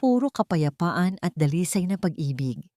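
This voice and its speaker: A woman narrating a scripted romantic drama story in a measured, sentimental way. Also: on the low side, very animated, dark tone, dry recording, studio quality.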